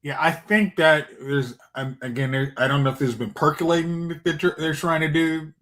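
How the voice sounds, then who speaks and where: A man with a slightly high voice speaks casually as a podcast panelist. He is hesitant and rambling, working out his thoughts as he goes. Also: moderate pace, fairly bright tone. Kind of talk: casual chat.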